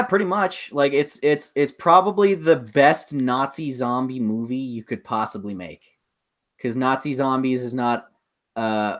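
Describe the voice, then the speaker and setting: A man with a mid-range voice in casual podcast conversation. He talks as a co-host, informally swapping views on films and games. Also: medium pitch. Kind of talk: casual chat about movies and video games.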